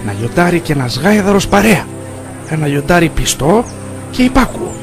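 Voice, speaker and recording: An actor playing a good-natured, simple cartoon monk who speaks in an expressive, folksy way over music. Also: male voice, a little high. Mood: amazed. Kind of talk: animated film voice acting. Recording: guitar music under the voice.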